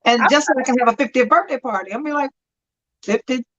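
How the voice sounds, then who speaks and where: A woman's voice: high voice, quick pace, fairly bright tone, highs cut off. A woman in her fifties co-hosting a casual chat show, telling stories with frequent laughter, calling in from a friend's house. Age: 50s. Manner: chatty storyteller, laughs often. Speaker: talk show co-host.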